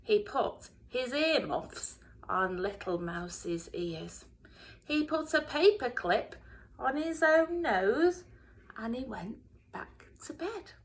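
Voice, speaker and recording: A woman reading a children's storybook aloud in a dramatic way, often dropping into hushed whispers. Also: fairly high pitch, very animated, takes it slowly. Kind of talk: expressive children's storybook narration.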